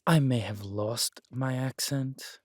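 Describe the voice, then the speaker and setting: Villain king for audio roleplay, male actor: husky voice, very close and dry, smug, flirtatious, haughty. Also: mid-range voice, dry recording. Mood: wry, candid. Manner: teasing, haughty, deliberate with drawn-out words. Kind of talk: dramatic romantic roleplay audio.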